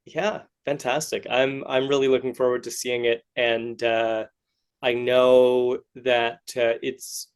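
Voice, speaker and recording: A man hosts a relaxed podcast interview in a mid-pitched voice with plenty of creak. He feels his way through questions and remarks, rambling a little and sounding encouraging. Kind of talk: casual interview chat.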